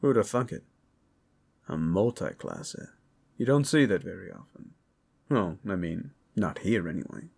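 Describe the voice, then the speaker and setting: An easygoing adventurer from a fantasy audio drama, voiced by a man. He talks in a casual, wry way with a husky, low-set voice, like a practical companion. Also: expressive, a little slow, pauses often, a little mellow, exaggerated voice.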